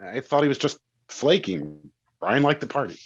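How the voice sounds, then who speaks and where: A man's voice: medium pitch, animated, normal speed, dull audio, lightly acted. An actor playing an evasive, defensive wealthy man at a screenplay table read, hesitant and stammering.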